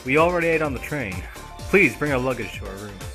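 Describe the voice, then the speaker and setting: A man's voice: medium pitch, animated, a little fast, fairly bright tone, soft background music. A man playing a sunny, polite young guest in an audio drama, friendly and courteous. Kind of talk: anime-style audio drama acting.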